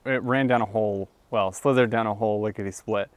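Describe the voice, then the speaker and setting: A man in his twenties chatting casually and excitably as he hosts an outdoor vlog, rambling along into a lapel mic. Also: fairly low pitch, a little fast, slight background noise. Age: 20s. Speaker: vlog host and reptile enthusiast. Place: outdoors in open fields, some in a parked car. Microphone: clip-on lapel mic.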